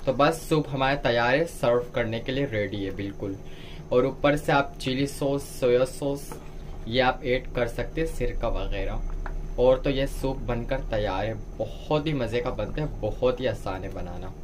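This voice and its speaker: A man's voice: medium pitch, moderate pace, slight background noise, poor audio. A man giving a step-by-step cooking tutorial as a home cook, instructing viewers directly. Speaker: home cook presenting a recipe.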